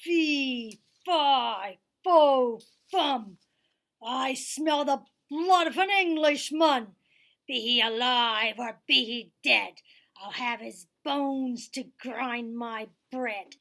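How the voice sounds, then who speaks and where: A woman's voice: creaky, a bit bright, heavily acted. A woman voicing a hungry, man-eating male ogre who chants and mutters menacingly in a children's puppet show. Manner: slow, booming chanting and muttering.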